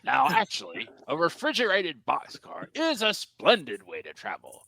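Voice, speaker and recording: A man's voice: a little high, wildly swooping pitch, normal speed, gravelly, sharp tone, no echo. A man narrating a children's story at a live script reading, with a storyteller's emphasis and wry asides.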